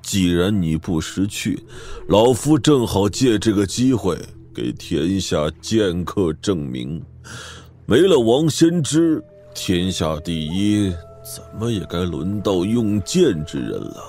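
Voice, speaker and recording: An actor playing a haughty, scornful hundred-year-old sword master in a Chinese martial arts audio drama, with a gravelly voice. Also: male voice, sits low, sing-song, darkish tone, faint music behind, full character voice. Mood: haughty defiance. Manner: scornful, unhurried, archaic self-important phrasing.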